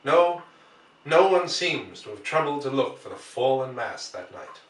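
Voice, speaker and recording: A man narrating a classic novel as an audiobook in a measured storytelling manner. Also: mid-range voice, sing-song. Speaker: audiobook reader. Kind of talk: scripted literary narration. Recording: echoey room, distant from the mic.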